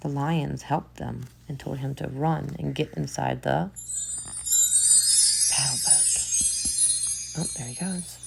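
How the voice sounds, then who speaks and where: A woman teacher reading a children's picture book aloud, expressive, with soft whispered asides. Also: deep voice, creaky.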